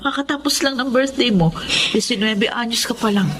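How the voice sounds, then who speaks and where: A woman's voice: a little high, brisk, a bit bright, lightly acted. A woman playing a worried, protective mother in a Tagalog radio drama, anxious and pleading with her daughter. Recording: slight background noise.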